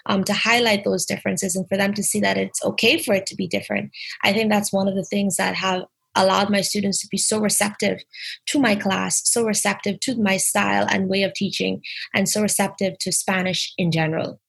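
A young adult woman with a Bahamian accent, a teacher answering interview questions in a lively, emphatic way. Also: medium pitch, a little fast, creaky, breathy edge. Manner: lively, emphatic, restarts phrases.

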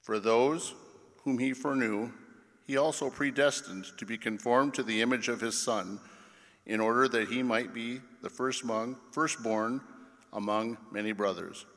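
Gravelly man preaching in an echoing church. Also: mid-range voice, steady tone, moderate pace, fairly bright tone. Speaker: preacher reading another pastor's sermon. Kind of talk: formal scripted sermon. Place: large reverberant church. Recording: long echoing church reverb.

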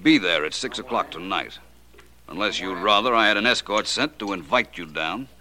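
Shrewd detective, low voice, lively inflection, polished wry manner, 1940s radio acting. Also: male voice, moderate pace. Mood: smooth menace. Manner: polished, wry, formal phrasing. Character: suave, shrewd, unflappable male private detective.

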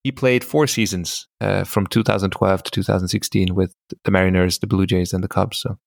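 Male podcast co-host, lowish pitch, very dry sound, casual conversational storytelling. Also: level tone, brisk, creaky, dry recording.

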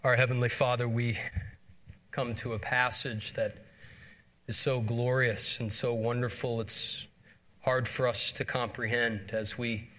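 A man preaching a sermon and reading scripture, hoarse from a cold, earnest and measured with frequent fillers. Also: mid-range voice, flat delivery, a bit bright. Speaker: pastor.

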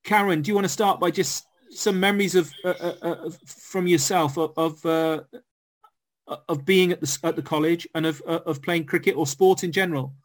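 A man with a fairly high voice, moderating a group conversation. He asks questions politely, restarting and stumbling over his words. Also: steady tone, moderate pace. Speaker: host, moderator. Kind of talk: moderating a group video chat.

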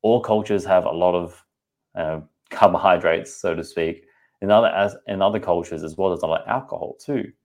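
A man's voice: deep voice, brisk. A man in his thirties with an Australian accent, talking candidly and chattily to his podcast listeners as host. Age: 30s. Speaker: podcast host and personal trainer. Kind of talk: casual podcast monologue.

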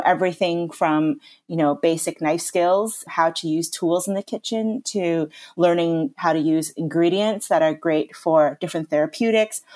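Chatty Canadian woman, low voice. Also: darkish tone. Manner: upbeat, chatty, many "you know" fillers. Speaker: chef and lecturer, podcast guest. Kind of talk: conversational podcast interview.

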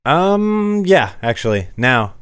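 A man with a low voice co-hosting a podcast in casual chat, laid-back, laughing often and saying "dude" a lot. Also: very animated, takes it slowly, a bit bright, clear, resonant tone, no echo, studio quality. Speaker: podcast co-host.

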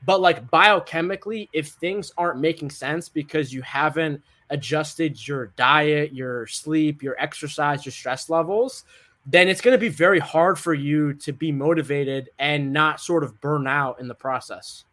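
A man's voice: a little high, unhurried, sharp tone. A young American man, a guest author, talking casually and upbeat in an interview, peppering his sentences with "like". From United States.

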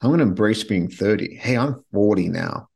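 A man's voice: on the low side, animated, moderate pace, creaky, darkish tone, slightly rough. A man hosting a podcast, talking bluntly and with strong opinions in a casual monologue, often restarting his sentences. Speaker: podcast host.

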